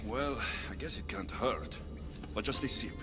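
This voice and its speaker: An actor voices a capable game hero with a mid-pitched male voice. He is wry and plain-spoken, and music plays clearly behind him. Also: unhurried, close to an everyday voice. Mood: wry, questioning. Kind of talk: dramatic video game acting.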